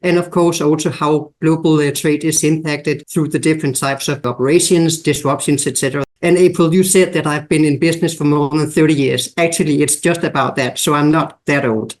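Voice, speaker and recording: Danish-accented man, measured guest. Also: on the high side, steady tone. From Denmark. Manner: measured, explanatory, lists examples. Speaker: guest expert. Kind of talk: podcast interview.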